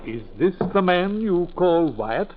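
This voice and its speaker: Courteous male ship's officer, higher-pitched, formal, measured and hesitant, vintage 1940s drama, narrow tinny sound. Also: sing-song, heavily acted. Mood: solemn. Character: courteous, businesslike male ship's officer showing a grim find. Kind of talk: 1940s radio acting. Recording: tinny, hissy 1940s radio recording.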